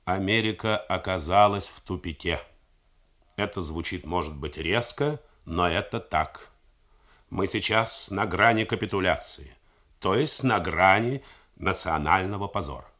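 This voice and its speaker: A man reading the part of an older defense minister who speaks slowly and deliberately. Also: low voice, bright, forceful tone. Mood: grim. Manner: slow, deliberate, emphatic. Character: blunt older male defense minister, measured. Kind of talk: radio drama reading.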